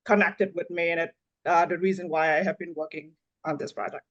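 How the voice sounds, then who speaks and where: A woman's voice: fairly low pitch, steady tone, dull audio. Woman from Vietnam. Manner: halting, frequent fillers and restarts. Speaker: neonatology fellow. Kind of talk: panel discussion.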